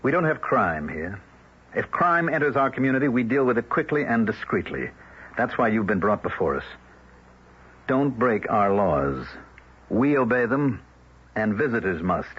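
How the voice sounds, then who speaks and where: A stern, controlling village elder. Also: male voice, on the low side, breathy edge. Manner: measured, formal, quietly threatening. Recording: muffled old radio broadcast.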